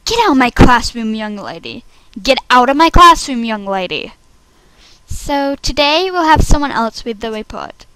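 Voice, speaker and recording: A woman voicing a stern, authoritative female schoolteacher in an amateur animated-series audition. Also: high-pitched, normal speed, hardly any pauses, dry recording, rough recording. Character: stern female schoolteacher scolding and running class.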